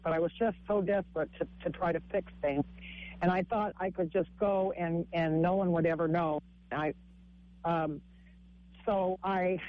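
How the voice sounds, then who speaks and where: A woman with a husky voice, a comic and author telling her story haltingly in a radio interview, with frequent restarts. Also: highs cut off. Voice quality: husky, low-sounding voice. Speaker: guest author and stand-up comic.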